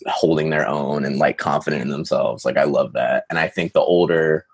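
Man, 20s, extremely deep voice, rambling guest full of fillers. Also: moderate pace, creaky, breathy edge. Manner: rambling, many "like" fillers, laughs. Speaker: podcast guest. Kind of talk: casual chat.

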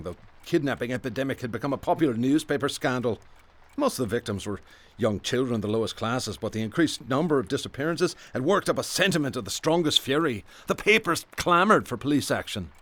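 A man's voice: mid-range voice, lively intonation, brisk, a bit bright. A man playing a level-headed, bookish detective who tells his grim story in a radio drama, matter-of-fact and erudite. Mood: grave, recounting. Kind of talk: radio drama acting and first-person narration.

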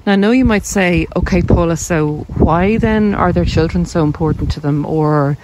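A woman's voice: on the low side, moderate pace. A woman giving an informal, explanatory monologue as a podcast host, posing questions and answering them in a conversational way. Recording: loud vehicle and wind noise, clicks.

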